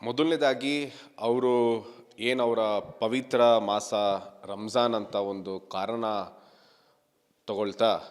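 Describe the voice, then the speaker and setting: A man's voice: medium pitch, big-room sound. A man giving an emphatic statement to a news reporter as an activist leader, repeating phrases for stress. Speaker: activist leader being interviewed.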